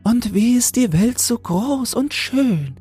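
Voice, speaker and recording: A man's voice: very high-pitched, lively intonation, takes it slowly, dark tone, husky, soft background music, voice pushed up, heavily acted. A man voicing a small, naive female toad full of yearning and wonder in a fairy tale, earnest and exclaiming. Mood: eager wonder. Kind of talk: fairy tale audiobook character voice.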